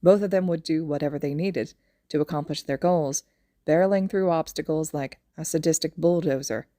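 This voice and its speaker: A woman narrates a piece of fan fiction from a script. Her voice sits a little low and is relaxed and dark in tone. The sound is very dry, with no room to it. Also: normal speed, no room sound.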